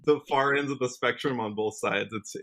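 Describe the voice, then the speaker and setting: A man's voice: mid-range voice, moderate pace, fairly bright tone. An American man giving a casual podcast interview as a musician guest, talking reflectively and thinking aloud as he goes. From Washington state, United States. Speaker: guest, country singer-songwriter.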